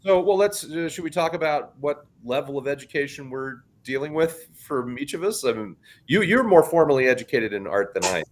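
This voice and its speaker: A middle-aged man co-hosting a podcast in casual chat, talking in a big loud voice. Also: on the high side, brisk, hardly any pauses. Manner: animated, self-described loud talker with a big voice. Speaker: podcast co-host.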